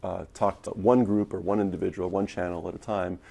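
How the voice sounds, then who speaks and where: A man with an American accent and a gravelly, husky voice, answering as an executive in an interview. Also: deep voice, steady tone, fairly quick, dark tone. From US East Coast. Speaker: tech company CEO, interviewee.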